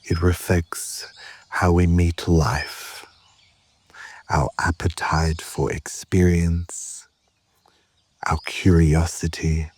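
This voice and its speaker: A man guiding a meditation in a calm, measured way, with short phrases, long pauses and a soft, at times breathy voice. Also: sits low, dry recording. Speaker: yoga nidra meditation guide. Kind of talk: slow guided meditation narration.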